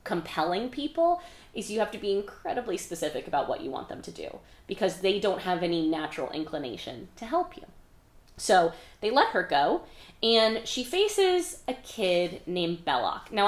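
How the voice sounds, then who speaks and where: Chatty woman recapping a story, roomy sound. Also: medium pitch. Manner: conversational, wry, dramatic emphasis, swearing. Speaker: author hosting a readalong of own novel. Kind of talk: casual, animated book recap.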